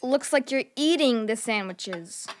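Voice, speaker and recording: An actress voicing a spirited, curious young girl with a direct, expressive manner in a children's audio drama. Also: mid-range voice, unhurried, slight background noise, close to an everyday voice. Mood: exasperated.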